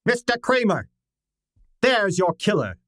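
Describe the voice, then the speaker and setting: A man playing a pompous, high-voiced, deliberate master detective. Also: very animated, fairly bright tone, no room sound, heavily acted. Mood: smug, explanatory. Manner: pompous, precise, formal diction. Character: pompous, brilliant male private detective, theatrical and exacting. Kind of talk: old-time radio detective drama acting.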